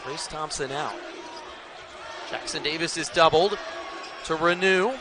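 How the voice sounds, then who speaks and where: A man's voice: on the high side, unhurried. An American man calling live basketball play-by-play for television with emphatic game calls. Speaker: play-by-play announcer. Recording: noisy arena with loud crowd. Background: crowd noise.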